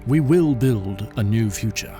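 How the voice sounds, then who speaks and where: A man's voice: mid-range voice, expressive, a little slow, loud music behind the voice, close to an everyday voice. Man playing an earnest king, sweeping intonation. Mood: solemn, resolute. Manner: solemn, oratorical. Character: earnest benevolent king addressing his people. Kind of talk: audio drama speech acting.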